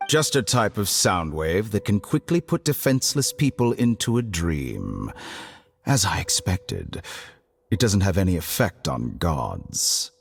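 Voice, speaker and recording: A man playing a smug, menacing scientist villain in a video game, speaking in a theatrical, condescending, deliberate way. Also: low-pitched, sing-song, vocal fry, exaggerated voice. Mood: smug, sinister. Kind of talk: English video game voice acting.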